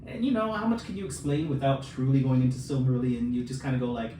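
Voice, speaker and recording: A man's voice: medium pitch, fast talker, mellow. Man chatting in a very echoey room. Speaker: podcast co-host. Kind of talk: casual chat about books. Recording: very echoey, noisy room, distant mic.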